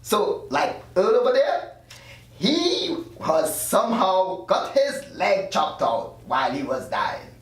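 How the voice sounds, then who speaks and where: A man's voice: high voice, very animated, slow pace, heavily acted. A man playing an evasive afterlife doctor in his thirties, read at a script table read. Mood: explaining. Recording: very echoey room, distant mic.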